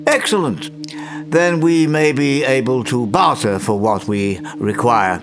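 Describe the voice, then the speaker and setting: An actor playing a fussy, imperious old British scientist in an audio drama, stammering, hemming and repeating himself in a patronising way. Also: male voice, medium pitch, a little slow, over music, natural voice. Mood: brisk, firm. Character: fussy, imperious, doddery old male time-travelling scientist.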